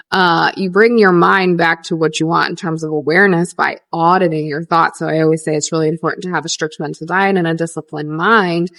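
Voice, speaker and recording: A young adult woman coaching viewers on a livestream, earnest and repetitive, answering questions with lively rises. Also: fairly low pitch, dry recording, studio quality. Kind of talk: casual livestream teaching, reading viewer questions.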